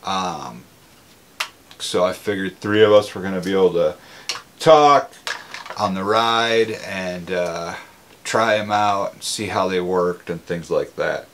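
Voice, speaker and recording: A man's voice: deep voice, slow pace, a bit bright, slight background noise. A man gives a casual, conversational, plain-spoken product review. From Minnesota, United States. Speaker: motorcycle gear reviewer.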